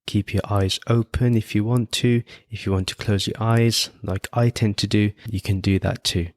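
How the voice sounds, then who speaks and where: A man leading a guided meditation as host, giving gentle, unhurried instructions with frequent pauses. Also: lowish voice, steady tone, dry recording, clean studio sound. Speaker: podcast host guiding meditation.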